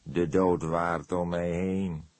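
A man voicing an eccentric old coroner in an audiobook, creaky and calm, morbidly matter-of-fact. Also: low voice, takes it slowly, no room sound. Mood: dry, morbid.

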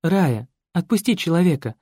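A man's voice: fairly high pitch, sing-song, moderate pace, warm tone, breathy edge, no echo, clean studio sound, voice pushed up. A man reading a composed, firm young woman, a priest's daughter, telling her story. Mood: commanding. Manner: composed, commanding.

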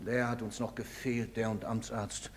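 An actor playing a gruff, bitter, protective middle-aged father, speaking emphatically in a theatrical stage style. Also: mid-range voice, flat delivery, moderate pace, lightly acted. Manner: emphatic, brusque. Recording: reverberant theatre stage recording.

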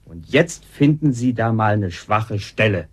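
A smug swindler posing as a respectable householder in a radio play. His husky voice swings widely in pitch. He is mock-polite and sardonic, wrapping every taunt in theatrical courtesy. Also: animated, measured pace. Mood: smugly triumphant. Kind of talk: German radio drama acting.

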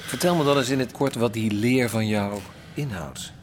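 An actor playing a skeptical prisoner who asks dry, needling questions in a colloquial way in a radio play. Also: male voice, mid-range voice, normal speed, natural voice. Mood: probing. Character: probing, skeptical male fellow prisoner, drily needling. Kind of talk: Dutch radio play acting. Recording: faintly noisy radio drama recording.

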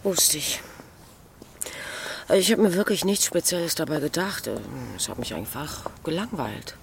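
Flippant, lively demimonde woman. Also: low voice, a little slow, background noise, exaggerated voice. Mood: bored, offhand. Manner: teasing, offhand, curt. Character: flippant, aloof woman of the 1920s Paris demimonde. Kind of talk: radio drama dialogue. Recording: loud music behind the voice.

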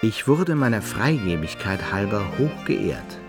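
A man's voice: fairly low pitch, sing-song, a little slow. An actor playing a proud adventurer who recounts his own exploits in formal, archaic language for a radio play. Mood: proud, reflective. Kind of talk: first-person radio play narration. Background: violin music.

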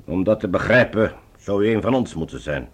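Husky, imperious Martian leader. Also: male voice, deep voice, animated, fairly quick. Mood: cold, sardonic. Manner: curt, imperious, sarcastic. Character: cold, suspicious male Martian supreme leader, commanding. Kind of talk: Dutch science fiction radio drama acting.

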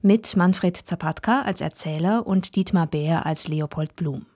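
A woman delivers a scripted radio announcement. Her voice is on the low side as she reads out programme credits in an announcer's style. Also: a little slow, a little mellow.

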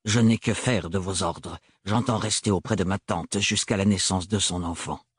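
A man voicing a cold, stiff male steward who speaks in a flat, icy, rigid tone. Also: deep voice, moderate pace, slightly rough, dry recording, studio quality.

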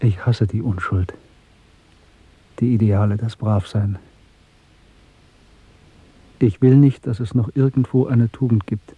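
A muffled, dry radio drama recording of an embittered male dissident. His voice is husky and he speaks in brooding, declamatory phrases, as a fatalist who hates the regime he lives under. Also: fairly low pitch, fairly even intonation, slow pace, long pauses, no room sound, heavily acted. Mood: bitter, intense. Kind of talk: German radio drama acting.

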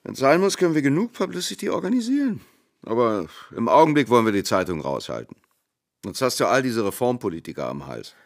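An actor playing a shrewd, cautious big-city lawyer in a radio drama, measured and insistent, with a creaky voice. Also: male voice, medium pitch, very animated. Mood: dismissive.

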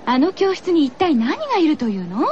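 Casting: actress for a schoolteacher heroine, high feminine voice, firm and uneasy, telling a radio ghost story. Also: female voice, very animated, normal speed, highs cut off, noisy background. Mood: firm, scolding. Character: female schoolteacher, firm but uneasy, narrating a horror tale. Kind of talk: radio horror drama acting.

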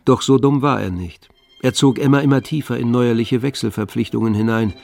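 Schauspieler als knarrender, distanzierter Erzähler. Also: male voice, medium pitch, fairly quick, gravelly, darkish tone, faint music behind, close to an everyday voice. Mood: detached, wry. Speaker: narrator. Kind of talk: scripted literary radio play narration.

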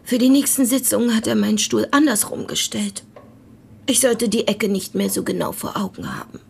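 A woman's voice: medium pitch, normal speed, vocal fry, darkish tone, slightly rough, exaggerated voice. A woman playing a wry, tart parish deaconess, a pointed and somewhat evasive witness in a radio play.

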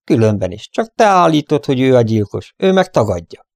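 A man's voice: medium pitch, sing-song, creaky, darkish tone, pitched above the performer's own voice. A man voicing a reasonable, firm farmer in a western story. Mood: reasoning.

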